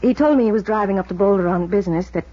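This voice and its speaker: An actress playing the anxious, guarded American wife of a missing man, halting with restrained worry, in 1950s radio drama style. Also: medium pitch, close to an everyday voice. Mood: worried, defensive. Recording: dull vintage radio recording.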